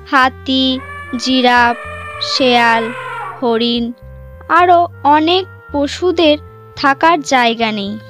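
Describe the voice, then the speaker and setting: A woman's voice: high-pitched, fairly bright tone. A woman narrating a scripted animal moral story for children.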